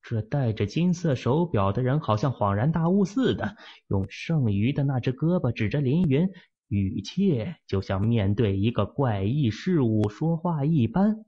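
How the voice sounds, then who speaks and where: A man narrating a fantasy novel as an audiobook, in a steady, measured storytelling manner, in a muffled lo-fi recording. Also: medium pitch, slightly rough.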